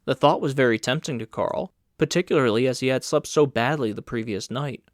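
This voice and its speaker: A man's voice: mid-range voice, fairly quick, no echo, clean studio sound. A man reading scripted literary narration as an audiobook narrator.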